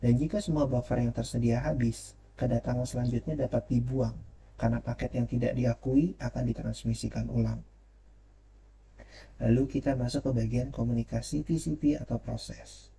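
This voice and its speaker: A man presents material in a formal, read-aloud lecture style. His voice is very dark and soft-edged, with a creaky grain and a mid-range pitch. He stumbles now and then as he reads, over a faint noisy ambience. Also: fairly even intonation, normal speed. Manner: formal, reading with occasional stumbles. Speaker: presenter reviewing a technical paper.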